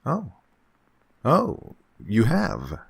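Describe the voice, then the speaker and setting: An actor playing an unctuous, scheming gentleman employer who feigns kindly absent-mindedness, fussy and repetitive, in an old-time radio horror drama style. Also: medium pitch, wildly swooping pitch, very slow, gravelly, husky, exaggerated voice. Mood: pleased, sly. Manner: fussy, hesitant, repeats himself, chuckling.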